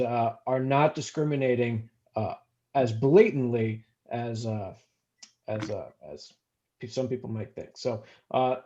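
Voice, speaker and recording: A man explaining his professional view haltingly in an unscripted panel discussion over a video call. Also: mid-range voice, dark tone. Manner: halting, explanatory, many hesitations. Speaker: panelist, housing discrimination professional. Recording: dull, lo-fi video call audio.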